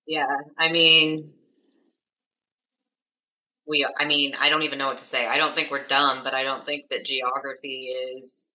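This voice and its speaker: Bright, easygoing podcast co-host. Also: mid-range voice, little pitch movement, a little slow, pauses often, low-quality recording. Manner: easygoing, hesitant, with fillers and laughs. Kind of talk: casual chat.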